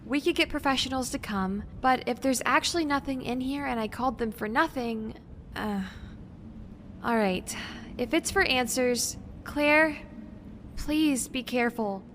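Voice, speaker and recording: A woman's voice: high-pitched, a little slow, fairly bright tone. A woman playing a careful, bookish young researcher in an audio drama, earnest and precise, hesitant when unsure. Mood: hesitant, reluctant. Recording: faint background noise.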